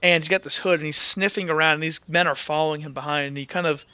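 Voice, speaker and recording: A man's voice: a little high, steady tone, fast talker, bright, forceful tone, clean tone, no room sound. A man narrating a tabletop game as game master, rambling with many fillers and hedges. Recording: dull lo-fi audio.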